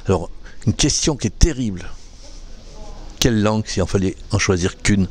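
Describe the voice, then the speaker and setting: A man with a fairly low voice interviews comedians at a festival. His questions ramble and restart while he searches for words. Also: expressive, normal speed, a little mellow.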